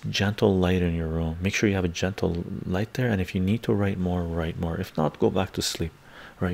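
A middle-aged man with a Canadian accent hosting a livestream, chatting casually in ASMR style in an unhurried, rambling way with frequent "right?" tags. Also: low voice, gravelly. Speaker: livestream host. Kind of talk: casual ASMR chat, answering viewers. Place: small book-lined room at home.

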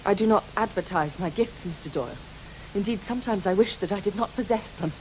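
A woman's voice: mid-range voice, a little mellow, natural voice, rough recording. An actress playing a haunted, reclusive lady medium in a radio drama, grave and intense. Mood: proud. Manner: grave, dramatic, deliberate phrasing. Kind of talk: studio radio drama acting. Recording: hissy background noise.

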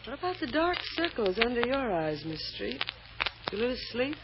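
A woman's voice: on the high side, sing-song, normal speed. An actress playing a wry, teasing, protective woman friend in an old-time American radio drama. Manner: wry, knowing, reassuring. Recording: muffled old radio recording, noisy.

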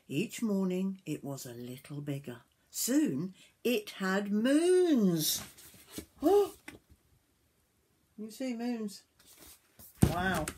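A woman in her sixties reading a picture book aloud to young children like a grandmother, expressively, with drawn-out words and little asides to the listener. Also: medium pitch, darkish tone, a little hiss or hum. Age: 60s. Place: small wooden summerhouse.

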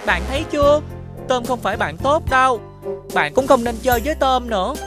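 A high, childlike voice of a stubborn young schoolchild in a children's cartoon. The delivery is expressive and quick to accuse, with clear music playing behind it. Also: female voice. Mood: tattling.

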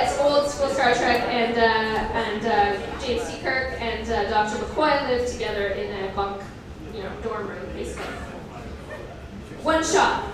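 High-voiced woman, echoey live comedy reading. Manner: self-deprecating, nerdy enthusiasm; mock-dramatic reading broken by deadpan commentary. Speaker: performer reading fan fiction on stage. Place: indoor live comedy reading event before an audience. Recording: roomy echo, distant mic, audience crowd noise. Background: audience laughter.

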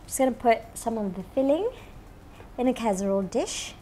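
A woman's voice: on the high side, expressive, normal speed, mellow, a little hiss or hum. Woman cooking show host, thirties, chatty and upbeat, lapel mic, faint room ambience, kitchen studio. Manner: chatty, upbeat, lots of "you know". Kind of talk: cooking demonstration to camera. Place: home-style kitchen studio, indoors.

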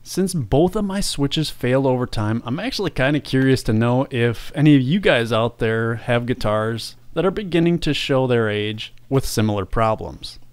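A man's voice: mid-range voice, moderate pace, few pauses, clean tone, no room sound. A man hosting a DIY repair tutorial, explaining each step as he goes. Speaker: video host.

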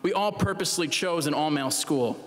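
A man's voice: on the high side, fairly quick, faint music behind. Young man giving a graduation speech. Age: 20s. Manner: earnest, with light jokes and some fillers. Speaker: student commencement speaker. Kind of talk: prepared commencement speech. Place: large hall, speaking at a lectern. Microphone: lectern mic. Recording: long hall reverb.

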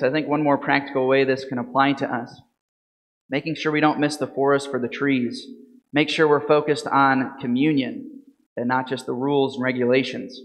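A man in his thirties, a priest, reads the gospel and gives a calm, measured homily full of questions to the congregation. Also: mid-range voice. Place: church sanctuary at a lectern. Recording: reverberant church from a lectern mic.

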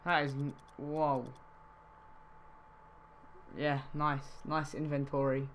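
A man's voice: a little high, little pitch movement, takes it slowly, a bit bright, slight background noise. Chatty young male game streamer. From Wales. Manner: casual, chatty, reads chat aloud. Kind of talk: live game-trading stream chat.

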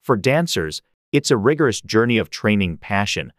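A man with a fairly low voice narrates prepared text. His reading is measured and carefully enunciated, with a very dry recorded sound. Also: very animated, dry recording. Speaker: model answer reader. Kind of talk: scripted read-aloud narration.

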